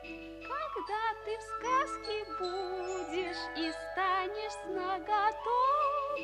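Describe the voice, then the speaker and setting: A woman's voice: very high voice, expressive, extremely slow, loud music behind the voice, exaggerated voice. A woman playing a cheerful, polite little bell boy from a musical box in an old radio play, in a courteous singsong. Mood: singsong, inviting. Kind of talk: 1940s radio play acting, partly sung.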